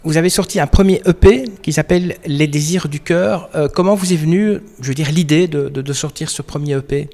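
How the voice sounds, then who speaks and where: A man's voice: on the high side, brisk, few pauses, darkish tone. A man hosting an interview podcast, asking friendly, playful questions and reading the sign-off.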